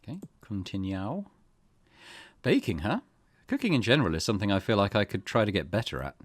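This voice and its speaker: Deep-voiced man musing through a game. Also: big pitch swings, brisk, dry recording, studio quality. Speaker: gaming video host. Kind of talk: casual let's-play commentary, reading game text aloud.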